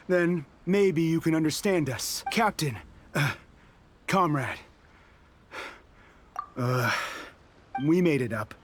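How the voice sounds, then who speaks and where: Brash, high-pitched resistance soldier. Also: male voice, takes it slowly, pauses often, a little hiss or hum. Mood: admitting. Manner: emphatic, challenging, rhetorical. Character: brash, hot-headed resistance soldier, distrustful of peace. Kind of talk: video game character acting.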